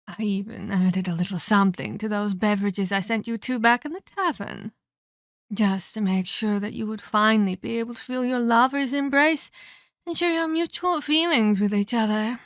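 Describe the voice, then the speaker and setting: A woman's voice: mid-range voice, no echo, studio quality, close to an everyday voice. A woman playing a sadistic, scheming, centuries-old female vampire in an ASMR roleplay, haughty and taunting, with formal, scolding phrasing. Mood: smug.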